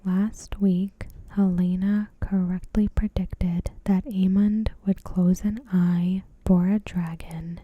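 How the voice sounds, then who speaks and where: A woman doing ASMR commentary in a breathy, hushed whisper, measured and deliberate, close to the microphone. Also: fairly low pitch, little pitch movement, no room sound, phone-quality sound. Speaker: ASMR creator reviewing a TV episode. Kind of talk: ASMR, soft scripted commentary. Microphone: close microphone in a dry space.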